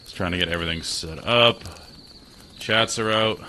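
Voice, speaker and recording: A man with a deep voice chats easily to his viewers from a small spare room. His tone comes across sharp and bright through a slightly tinny mic. A faint hiss sits underneath. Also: moderate pace. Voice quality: low voice. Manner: chatty, self-deprecating, talks to chat. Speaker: gaming streamer. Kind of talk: casual live-stream chat. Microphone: desk mic.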